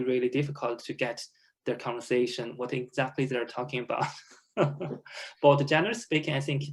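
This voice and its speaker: A man from China speaking English as a second language as a guest on an online alumni panel, talking hesitantly with many fillers and frequent laughs. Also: mid-range voice. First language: Chinese. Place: home, over a video call.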